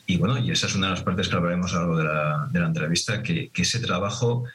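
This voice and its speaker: Deep-voiced middle-aged Madrid winemaker. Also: male voice, fast talker, fairly bright tone. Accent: Madrid Spanish. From Madrid, Spain. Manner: reflective, hesitant, many restarts and tag questions. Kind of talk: relaxed interview answers.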